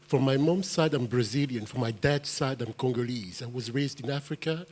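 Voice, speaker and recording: A man who grew up in France and Belgium, a musician on a panel, telling his story in an earnest, animated way with halting restarts. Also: mid-range voice, a little hiss or hum.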